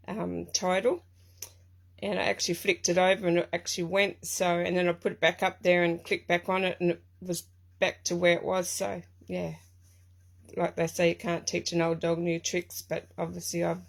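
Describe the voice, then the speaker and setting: A middle-aged Australian woman from Tasmania with a croaky voice, chatting casually and rambling with lots of ums as she hosts a live craft stream from her craft room. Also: lowish voice.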